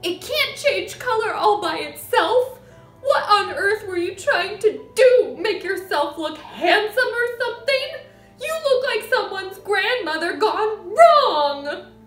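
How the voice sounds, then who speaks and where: Shrill, shrieking, scornful wife. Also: female voice, animated, takes it slowly, a bit bright, roomy sound, soft background music, heavily acted. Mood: scornful fury. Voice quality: shrill, screeching. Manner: scolding, exclamatory. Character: shrieking, scornful, hysterical middle-aged wife and mother.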